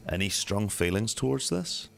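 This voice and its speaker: A man acts out a police detective for an amateur radio drama. His voice sits low, and he questions in a measured, formal, matter-of-fact way. There is faint noise behind him. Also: big pitch swings, creaky, fairly bright tone, exaggerated voice. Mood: pressing.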